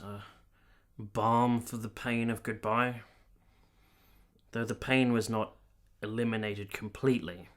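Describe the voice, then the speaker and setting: An actor playing an earnest, tormented soldier of 1870s Italy in a radio drama, speaking in a formal, measured, literary manner. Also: male voice, medium pitch, fairly bright tone, close to an everyday voice. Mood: subdued, tender. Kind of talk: dramatic radio play narration and dialogue.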